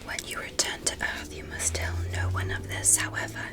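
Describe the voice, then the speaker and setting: A woman voicing a curious, polite alien doctor in a gentle, careful, clinical manner for a whispered ASMR medical roleplay. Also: a bass voice, fairly even intonation, moderate pace, pitched below the performer's own voice.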